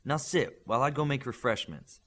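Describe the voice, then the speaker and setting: A man's voice: mid-range voice, lively intonation, a little fast, fairly bright tone. A man voicing a hospitable, polite Japanese mother and scientist in an audiobook, with a welcoming, courteous manner.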